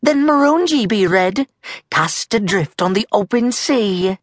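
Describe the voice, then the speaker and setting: A woman's voice: medium pitch, lively intonation, measured pace, no echo, studio quality, pitched above the performer's own voice. A woman voicing a playful girl pirate leader in a children's storybook, sweetly taunting and teasing. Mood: triumphant.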